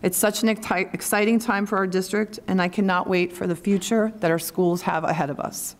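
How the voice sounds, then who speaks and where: A woman's voice: mid-range voice, steady tone, fairly quick. Middle-aged woman reading a candidate statement. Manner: reads steadily, with a few stumbles and restarts. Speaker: school board candidate. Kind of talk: prepared opening statement.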